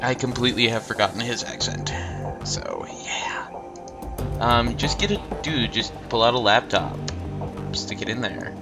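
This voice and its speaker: A man's voice: mid-range voice, big pitch swings, measured pace, gravelly. A man with a stuffed-up nose hosting a let's play, talking in a rambling, hesitant casual way. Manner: rambling, hesitant, many ums. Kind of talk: casual game commentary. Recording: game music behind.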